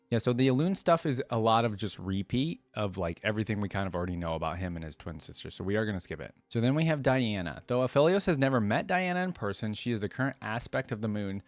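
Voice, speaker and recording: A man's voice: fairly low pitch, dry recording. A man hosting a reaction video, reading wiki text aloud and thinking aloud in an informal, curious way.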